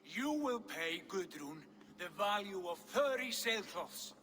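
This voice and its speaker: An actor playing a stern, imperious Viking jarl who gives commanding, emphatic pronouncements in a video game. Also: male voice, sits high, expressive, takes it slowly, bright, forceful tone, slight background noise, heavily acted. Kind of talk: dramatic video game acting.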